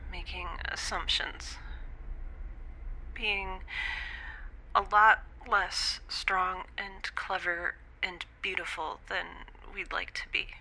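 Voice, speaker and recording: A woman playing a stranded young scientist in an audio drama, giving wry, anxious, self-deprecating log entries in a halting, confessional way. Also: fairly low pitch, little pitch movement, pushed and bright, a little hiss or hum, full character voice, low-quality recording. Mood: guilty, subdued. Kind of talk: audio drama monologue, recorded log entry.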